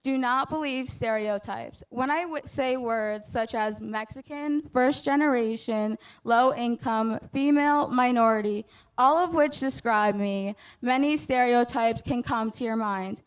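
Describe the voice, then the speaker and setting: Young female student speaker, graduation address, earnest and measured, higher voice, clean smooth tone. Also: rough recording. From United States. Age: young adult. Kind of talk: prepared graduation speech.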